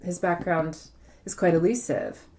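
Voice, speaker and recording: A woman's voice: lowish voice, fairly even intonation, unhurried, darkish tone. A woman speaking conversationally as an interviewed author, explaining things reflectively and repeating words for emphasis. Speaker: interviewed novelist.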